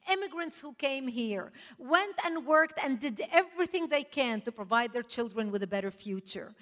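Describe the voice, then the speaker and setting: A woman for whom English is not her first language gives an impassioned, emphatic speech at a political rally, breaking into laughs now and then. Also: a little high. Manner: forceful, emphatic, punctuated with laughs. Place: large hall before a live audience. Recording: echoey hall, long reverb.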